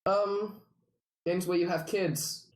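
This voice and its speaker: A man's voice: high-pitched, steady tone, takes it slowly, poor audio. A man chatting casually and swearing freely as a panelist in a podcast quiz game. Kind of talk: casual group banter.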